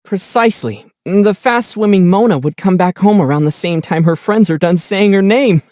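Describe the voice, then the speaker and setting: A man playing a calm young adult traveler hero in a video game, with a playful, teasing and wry way of talking. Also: high-pitched, moderate pace, no echo, studio quality, close to an everyday voice. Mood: playful storytelling.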